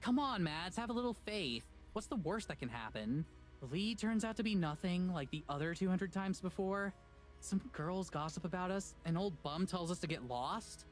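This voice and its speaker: A woman's voice: on the low side, animated, moderate pace, soft background music, lightly acted. Casual, persistent young woman, played by an actress. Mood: reassuring. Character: upbeat, persistent young woman investigator and companion. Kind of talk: visual novel voice acting.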